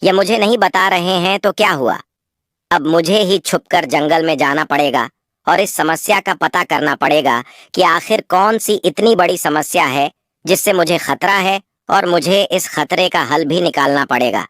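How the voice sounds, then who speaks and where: A woman's voice: fairly low pitch, moderate pace, a bit bright, dry recording, studio quality, natural voice. A woman voicing a dignified, caring male lion king with a stately, earnest manner in a cartoon moral story. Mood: resolute.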